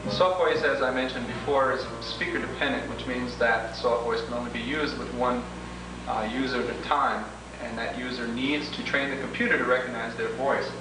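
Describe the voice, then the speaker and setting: A man's voice: medium pitch, steady tone, normal speed. A man presenting an instructional training tape as a product demonstrator, methodically going through each step in formal wording. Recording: echoey, noisy, distant 1980s homemade video.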